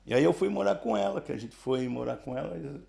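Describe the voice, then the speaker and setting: Gravelly-voiced man in his sixties. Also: a little high, expressive, warm tone. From Brazil, São Paulo region. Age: 60s. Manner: halting, restarts, frequent tag questions. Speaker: church member giving testimony. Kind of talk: casual life-story telling.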